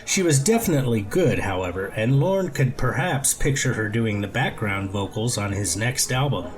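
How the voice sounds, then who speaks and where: A man's voice: medium pitch, lively intonation, normal speed, slight background noise, rough recording. Man reading a story aloud. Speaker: audiobook reader. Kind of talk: scripted story narration with character voices.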